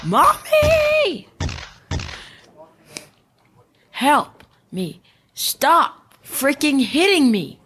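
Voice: high voice, huge pitch swings, extremely slow, pushed and bright, full character voice. A young amateur performer playing a sulky, defiant schoolboy bully in a school radio play. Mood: panicked.

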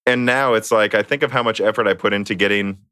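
Host guy, bright punchy voice, chatty, dry close mic. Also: fairly low pitch, fairly even intonation, clean tone, no room sound. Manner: quick, chatty, laughs mid-sentence. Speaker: interviewer, host. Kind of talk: casual interview.

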